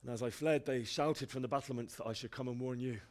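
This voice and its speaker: A man's voice: mid-range voice, steady tone, fast talker, darkish tone, natural voice. A man acting an earnest, humble young blacksmith's son in a video game cutscene. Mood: shaken, earnest.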